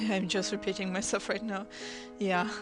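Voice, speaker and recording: A woman's voice: mid-range voice, level tone, moderate pace. A teenage girl from Russia, a native Russian speaker, narrating her life story in a hesitant way with many restarts. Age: teens. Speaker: channel host. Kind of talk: draw my life voice-over narration. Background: music.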